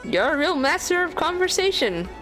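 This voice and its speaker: Sarcastic teen girl, bright lively delivery, game score under. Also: high voice, normal speed. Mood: teasing. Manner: playful, sarcastic teasing. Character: cheeky teenage girl, teasing and sarcastic. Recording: game dialogue over music. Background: game music.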